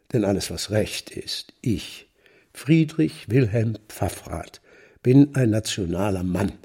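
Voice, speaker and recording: An older nationalist mayor in a radio drama, full of pompous self-righteousness. His deep voice is gravelly and very rough, with big swings of pitch as he declaims officiously. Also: mellow, full character voice. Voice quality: gravelly, husky. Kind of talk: German literary radio play.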